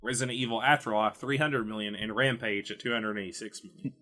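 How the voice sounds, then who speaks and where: A man's voice: on the low side, fairly even intonation, fast talker, bright, forceful tone. A man co-hosting a podcast, talking in a casual, conversational way. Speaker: podcast co-host. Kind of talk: casual chat about video game movies.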